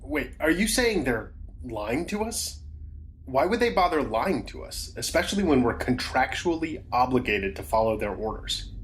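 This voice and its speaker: A man's voice: medium pitch, big pitch swings, moderate pace, a little hiss or hum, natural voice. A man playing a rule-following, skeptical security team member in an audio drama, with an argumentative, questioning manner. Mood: incredulous. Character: skeptical, by-the-book male security team member, easily alarmed.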